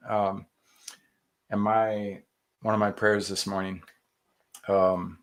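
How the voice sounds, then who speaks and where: A man hosting a podcast, talking earnestly and animatedly in casual chat, full of fillers and quoted self-talk. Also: on the low side. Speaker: podcast host.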